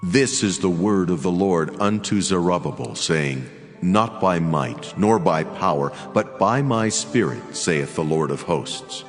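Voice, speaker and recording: Deep, solemn angel, long reverb. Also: male voice, expressive. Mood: solemn, commanding. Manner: slow, weighty, declamatory. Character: solemn, commanding male angel of the Lord. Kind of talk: dramatized scripture reading. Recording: long hall reverb, music behind.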